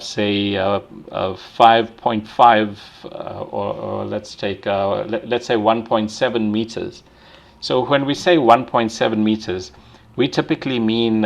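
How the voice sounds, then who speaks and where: A male lecturer talks through his material step by step, often pausing on fillers and restarting his sentences. His voice sits low with a gravelly, creaky edge. He keeps checking in with his students by asking "right?". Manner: frequent fillers and restarts while thinking aloud. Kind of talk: university lecture.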